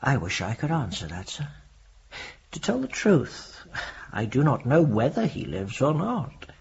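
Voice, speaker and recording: A dull recording of a period radio play. a devout, courteous clergyman recalling the past in a very raspy, creaking voice. His phrasing is formal and measured, with lively rises and falls. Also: medium pitch, full character voice. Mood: wistful. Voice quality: husky, gravelly. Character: earnest Victorian clergyman recounting his memories, devout and courteous. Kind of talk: period radio drama acting. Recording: dull lo-fi audio.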